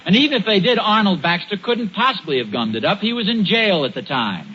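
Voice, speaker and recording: Stammery genial suburban dad, american, old 40s radio sitcom, real lively pitch, dull noisy recording. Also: male voice, a little fast, exaggerated voice. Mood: insistent, argumentative. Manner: hesitant, stammering when flustered. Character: flustered, genial suburban father, stubborn and sheepish. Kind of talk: 1940s radio comedy acting.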